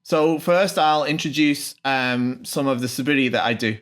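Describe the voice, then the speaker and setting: A man hosting his own channel, translating a video message in an informal, off-the-cuff way, with many pauses, ums and restarts. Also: medium pitch, fairly bright tone. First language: not Japanese.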